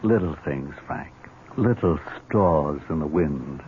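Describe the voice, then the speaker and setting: An actor playing a sly, sardonic uncle in an old-time radio drama, deliberately insinuating and needling. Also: male voice, a bass voice, expressive, heavily acted. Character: sly, mocking uncle needling his niece's fiancé. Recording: tinny noisy old radio recording.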